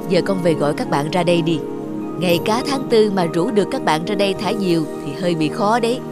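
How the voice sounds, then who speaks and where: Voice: medium pitch, animated, a little fast, lightly acted. An actor voicing a playful, kindly father in a cartoon, teasing yet reassuring. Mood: caring, encouraging. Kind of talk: cartoon dubbing. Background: music.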